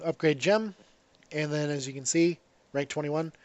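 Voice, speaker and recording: A man's voice: a little high, normal speed, a little mellow, dry recording, highs cut off. A man with a congested voice from a cold, casually commenting on his own gameplay with lots of ums and restarts. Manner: rambling, self-correcting, frequent ums.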